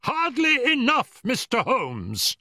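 A man's voice: mid-range voice, expressive, measured pace, dry recording, studio quality, pitched above the performer's own voice. A man voicing a malicious, irascible elderly professor in an audiobook story, with a pompous, cutting manner. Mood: screaming fury. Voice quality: screaming at peaks.

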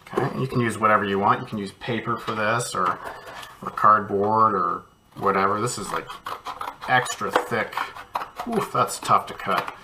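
A man's voice: fairly low pitch, moderate pace, pushed and bright, a little hiss or hum, low-quality recording. A man, an art educator, casually walking kids through a cardboard craft project from his home studio, encouraging and thinking aloud as he works.

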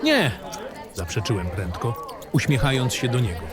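Male narrator for fantasy audio drama: world-weary baron recounting dark memories, expressive range, clearly scored with music. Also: medium pitch, moderate pace, a crowd in the background. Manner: grave, literary storytelling.

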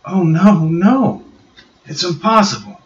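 A man's voice: high voice, slow pace, voice pushed up. A man doing frightened young woman Susan. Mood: distressed. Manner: fretful, breathless, pleading. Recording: dull roomy home recording.

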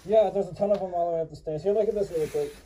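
A man's voice: high-pitched, rapid-fire, warm tone, a little hiss or hum, rough recording. A man chatting casually with fellow explorers, speaking off camera indoors. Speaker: companion explorer. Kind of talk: casual chat. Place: inside a cluttered abandoned house.

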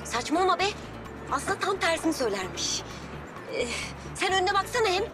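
A woman's voice: extremely high pitch, big pitch swings, fairly quick. A young actress playing an anxious, exasperated woman who whines and pleads in a TV drama. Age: young adult. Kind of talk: Turkish TV drama acting. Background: loud music.

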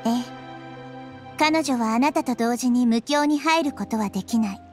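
A woman voicing a small, wise young girl deity in a game, speaking in a measured, gently reproving way. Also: on the high side, faint music behind, close to an everyday voice. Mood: composed, explaining. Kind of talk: scripted Japanese game voice acting.

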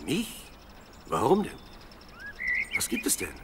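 An actor playing a plain-spoken, impatient young shepherd in a Bible audio drama. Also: male voice, mid-range voice, huge pitch swings, slow, a little hiss or hum. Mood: puzzled. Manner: questioning, exclamatory.

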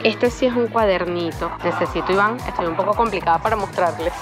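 A young woman talks to her viewers while browsing a shop, exclaiming over every item and rattling off prices. Her voice sits at an ordinary middle pitch. Store music plays clearly behind her. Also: brisk. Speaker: travel vlogger. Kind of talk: shopping tour vlog, talking to viewers. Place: indoor retail store. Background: store background music.

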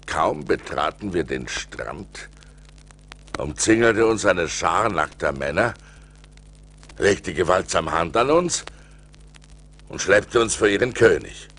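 A man's voice: low-pitched, lively intonation, slow pace, pauses often, fairly bright tone, slight background noise, exaggerated voice. An actor playing an adventurous seafarer recounting his voyages in a theatrical, precise German audio-play style, on a vintage vinyl record. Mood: grave, dramatic. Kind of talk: dramatic scripted storytelling, audio play.

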